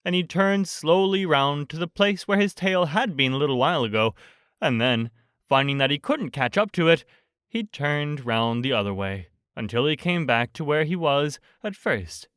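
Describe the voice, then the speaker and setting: A man narrating a bedtime storybook as an audiobook reader, in a measured, expressive storytelling manner. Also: on the high side, clean tone, no echo, studio quality.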